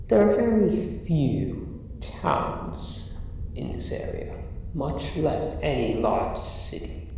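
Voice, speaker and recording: A man voicing a huge, condescending dragon who guards his treasure, speaking in a deliberate, mock-polite way in an improvised ASMR roleplay. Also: on the high side, vocal fry, warm tone, full character voice. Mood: amused, questioning. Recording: roomy echo, distant, faint noise, cave ambience. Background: cave ambience.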